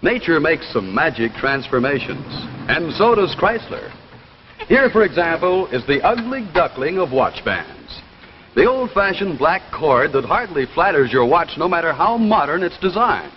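A man's voice: fairly high pitch, big pitch swings, moderate pace. An American man in his thirties delivering a scripted 1950s television commercial. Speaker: commercial pitchman. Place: television studio display counter. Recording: dull, noisy 1950s TV soundtrack.

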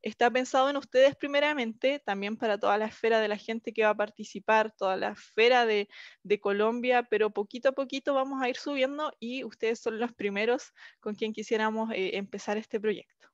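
Young Chilean woman, higher voice, presenting online; dry, slightly muffled call audio. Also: a little fast, no echo. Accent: Chilean Spanish. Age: young adult. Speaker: English teacher presenting a language project. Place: small indoor room, video call. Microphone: headset.

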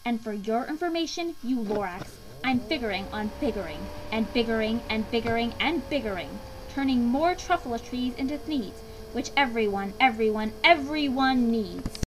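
A woman's voice: high voice, expressive, normal speed, background noise. A woman voicing a brash, greedy male tycoon from a storybook, bossy and defiant, with emphatic rhyming delivery. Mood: angry, defiant.

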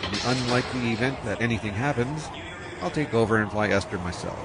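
An actor playing a practical, profit-minded spaceship captain, talking in a casual, matter-of-fact way. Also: male voice, medium pitch, quick pace, heavy background noise, lightly acted. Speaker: spaceship pilot and narrator of his own story. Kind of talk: radio drama acting. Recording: dialogue over music.

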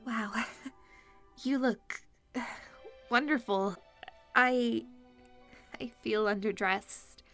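A woman's voice: fairly high pitch, extremely slow, long pauses, a bit bright, faint music behind, exaggerated voice. A woman playing a kind, earnest young princess in an audio drama. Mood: flustered.

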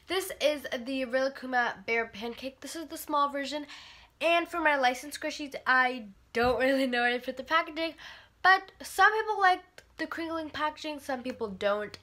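Teen girl, congested cold voice, bright tone, chatty rambling vlog, bedroom, camera mic. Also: high-pitched. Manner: chatty, rambling, many fillers. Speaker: vlogger. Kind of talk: casual product review vlog. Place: bedroom, indoors.

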